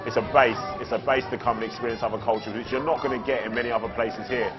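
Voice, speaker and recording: A man's voice: mid-range voice, fairly even intonation, quick pace, dull audio, music in the background. A man, talking reflectively in a documentary interview and often restarting his phrases. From East End of London.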